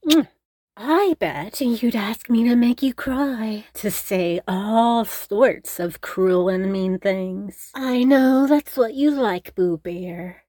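Slow, cooing woman acting a dominant, doting girlfriend. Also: a little high, dry recording. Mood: playful, coaxing. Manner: slow, cooing, coaxing, with pet names and soft whispers. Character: doting, teasing, dominant girlfriend soothing her boyfriend. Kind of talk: intimate scripted roleplay audio addressed to the listener.

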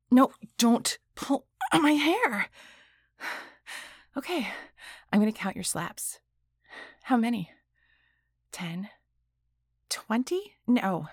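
A woman playing a defiant, domineering female boss in an adult audio drama, with breathy delivery that often drops to a hush. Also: medium pitch, dry recording, clean studio sound, heavily acted. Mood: weary, giving in. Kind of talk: erotic audio roleplay acting.

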